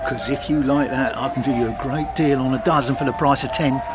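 A man's voice: mid-range voice, steady tone, a little fast, over loud music, lightly acted. An actor playing a brash, chatty male market stall trader in an audio drama. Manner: chatty street-trader patter, cheeky.

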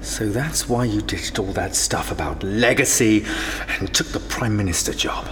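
An actress playing a blunt, suspicious general who presses with challenging questions. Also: female voice, rumbling low, moderate pace, in a large hall, slight background noise. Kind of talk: audio drama acting.

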